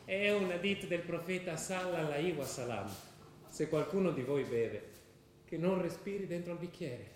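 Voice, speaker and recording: A man's voice: on the high side, animated, normal speed, noisy background, natural voice. An actor playing an earnest, devout European convert to Islam who explains his faith in a didactic, theatrical way. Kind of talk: theatrical radio drama dialogue. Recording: roomy, echoing stage sound.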